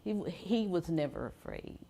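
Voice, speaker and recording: A woman's voice: on the low side, expressive, normal speed, vocal fry, warm tone. An American woman in her fifties sharing personal recollections as an interview guest. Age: 50s.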